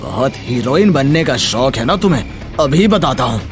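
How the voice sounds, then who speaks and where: अभिनेता की आवाज़ में उतार-चढ़ाव भरा धमकाता गुंडा. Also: male voice, medium pitch, big pitch swings, normal speed, soft background music, close to an everyday voice. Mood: menacing. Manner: menacing, boastful. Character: thuggish male village villain, devoted to his scheming lover. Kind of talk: dramatized Hindi moral story voice acting.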